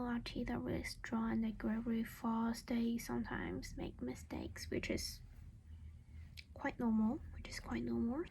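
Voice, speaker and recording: A woman whispers very slowly in a breathy, crackly voice, close to the mic. She plays a caring vampire mom in an ASMR roleplay, gentle and reassuring throughout. Noticeable background noise sits behind her. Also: on the high side. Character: caring, protective vampire mother doing a dental checkup.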